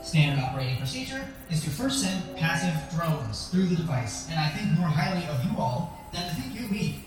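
An actor voicing a prim, cautious lab computer in an audio drama, speaking formally with dry, measured politeness. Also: mid-range voice, very animated, close to an everyday voice. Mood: stern, dry. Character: prim, cautious artificial intelligence lab machine. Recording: very echoey, music behind. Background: music.

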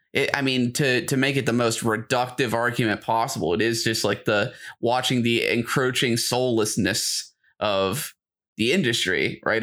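A man with a middle-pitched voice chats as a podcast co-host. He sounds opinionated and informal, using slang and commenting on himself as he goes. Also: a bit bright. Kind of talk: casual chat about video games and media.

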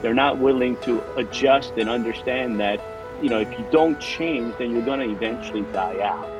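A man in his forties with an American accent, born in South Korea and raised in the United States, answering as a guest pastor in a steady, friendly way. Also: medium pitch, moderate pace, music in the background. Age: 40s. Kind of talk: interview answers.

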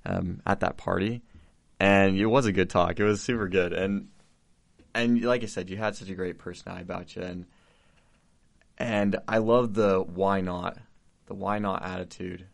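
Deep gravelly young host, supportive. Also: male voice, fairly even intonation, normal speed. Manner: warm, supportive, many false starts. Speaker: podcast host. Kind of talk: casual conversation.

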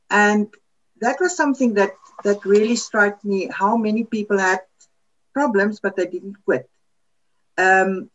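Woman with a lo-fi sound. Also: mid-range voice. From South Africa. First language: Afrikaans. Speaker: podcast panelist. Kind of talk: casual chat.

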